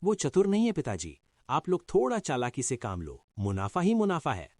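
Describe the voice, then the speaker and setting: A man's voice: on the high side, wildly swooping pitch, normal speed, dry recording, clean studio sound, full character voice. A man voicing a clever young son from a village in a cartoon story, who talks in a confident, reassuring way. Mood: concerned.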